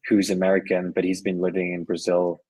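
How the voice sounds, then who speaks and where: Middle-aged guy, low husky voice, keeps restarting sentences, dry close sound. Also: monotone, fairly quick, mellow, no echo. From Switzerland and Colombia. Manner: many restarts and repeated words, easygoing. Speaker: podcast guest, venture investor. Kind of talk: conversational interview.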